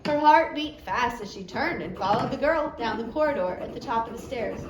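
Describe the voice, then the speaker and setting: A woman's voice: a little high, animated, normal speed. A woman narrating a story aloud as an audiobook reader. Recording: roomy echo, faint background noise.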